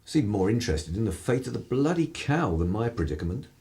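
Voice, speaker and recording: A man acting a cynical 1930s English broadcaster for radio drama. His voice is low and husky, swinging widely in pitch as he delivers world-weary, mischievous mockery in upper-class phrases. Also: sing-song, fairly quick, warm tone, exaggerated voice. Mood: exasperated.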